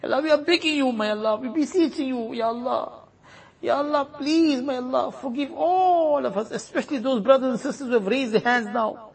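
A man leading a congregation in an impassioned supplication prayer, pleading and closing each plea with a soft trailing refrain. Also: very high-pitched, a little fast, husky, poor audio.

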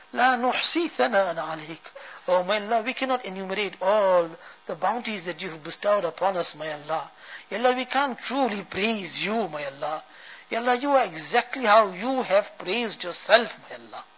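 A man leading an emotional supplication, chanting Arabic verse and pleading fervently, each refrain trailing off to a hush. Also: sits high. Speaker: prayer leader. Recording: dull lo-fi recording.

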